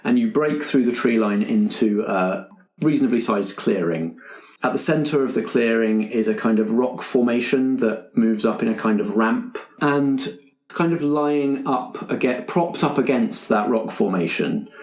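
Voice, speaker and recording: A man's voice: medium pitch, unhurried, darkish tone. A man running a tabletop roleplaying game as game master, describing scenes to the players in improvised narration. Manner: descriptive, addressing players as you. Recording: roomy, echoing group recording.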